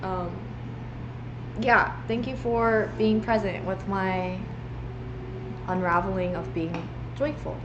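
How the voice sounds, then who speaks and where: A woman's voice: medium pitch, steady tone, slow, long pauses, lo-fi sound, noisy background. A young woman livestreaming from home, talking earnestly and rambling with many restarts. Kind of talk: reflective livestream monologue. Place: home room.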